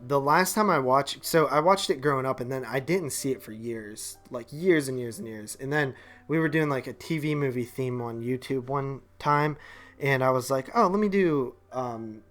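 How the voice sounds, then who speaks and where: A man talks movies as a podcast co-host in a mid-pitched voice, with faint music in the background. His delivery is loose and slangy, full of conversational filler words like "like" and "man". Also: moderate pace, a bit bright. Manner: slangy, informal, heavy use of "like" and "man". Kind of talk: casual movie chat.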